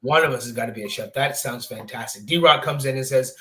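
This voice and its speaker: A man's voice: medium pitch, a little fast, crowd noise. A young man in his late twenties hosts a laid-back sports show. He talks in loose, rambling runs, full of ums and restarts, and keeps the chat moving between guests. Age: 20s. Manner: chatty, rambling, many fillers. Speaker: podcast host. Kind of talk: casual sports talk.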